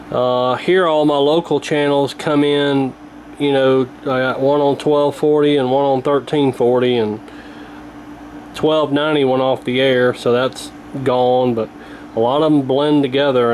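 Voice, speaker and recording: A man's voice: mid-range voice, low-quality recording. American man, casual hobbyist, over noticeable background noise. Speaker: radio repair hobbyist and host. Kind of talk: casual hands-on demonstration commentary. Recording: clear steady background noise. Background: old radio being tuned, room noise.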